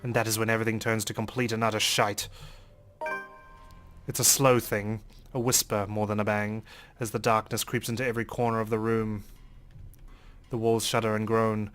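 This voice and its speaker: A man's voice: fairly low pitch, flat delivery, normal speed, a bit bright, faint music behind. A man reading a visual novel aloud as a let's play host, in dramatic, theatrical first-person narration.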